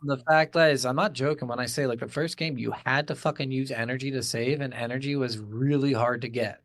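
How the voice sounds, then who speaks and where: Man, podcast host, medium pitch, blunt, sweary, casual gaming chat, close dry sound. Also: steady tone, brisk, a bit bright, dry recording. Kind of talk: casual video game review chat.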